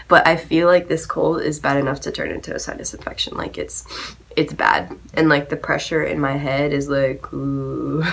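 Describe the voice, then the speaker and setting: Young woman, stuffy from a cold, low-ish voice, bubbly beauty-tutorial chatter, bedroom camera. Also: a bit bright. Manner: bubbly, chatty, frequent ums and likes. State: sick with a cold, voice lower than usual. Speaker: beauty vlogger. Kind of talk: casual makeup tutorial chat. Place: bedroom, indoors.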